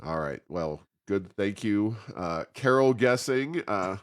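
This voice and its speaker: An American man hosting a conversational interview, speaking in a halting, roundabout way with many hesitations. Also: lowish voice, animated, smooth. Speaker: interview host.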